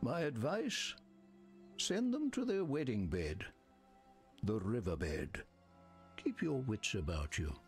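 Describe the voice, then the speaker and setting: An actor playing a grave, commanding counsellor in a dark fantasy video game, with terse, ominous, ornate phrasing. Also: male voice, mid-range voice, big pitch swings, slow pace, pauses often, soft background music. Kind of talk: video game character dialogue.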